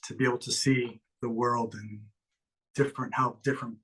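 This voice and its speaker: A man's voice: medium pitch, level tone, normal speed, sharp tone, breathy edge, poor audio. A man from Ecuador, a musician guest, talking earnestly and gratefully in a rambling way. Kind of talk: casual interview chat.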